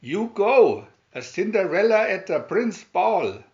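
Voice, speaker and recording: A shrill, spiteful young woman of fairy tale, put on by a male reader. She is bossy and demanding, dragging out her high-pitched orders. The recording is a little dull. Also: sing-song, darkish tone, exaggerated voice. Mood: scornful. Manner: shrieking, drawn-out demands. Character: shrill, bossy, spiteful stepsisters barking orders at servant girl.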